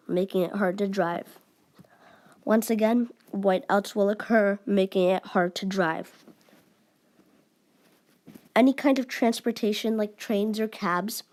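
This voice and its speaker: A woman giving mock emergency news announcements in a formal but halting, amateur way. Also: medium pitch, darkish tone.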